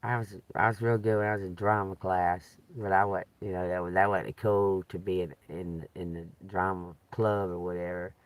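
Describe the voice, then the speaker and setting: A man hosting a live stream, chatting loudly and laughing often while telling profane stories. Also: deep voice, level tone, moderate pace, hardly any pauses. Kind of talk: rambling casual live stream chat.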